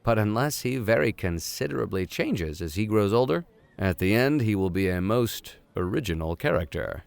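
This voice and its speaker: A man reading classic literature aloud in an expressive, theatrical and playful way. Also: fairly low pitch, normal speed, creaky, smooth. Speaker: audiobook reader. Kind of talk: expressive narration of classic literature with casual asides.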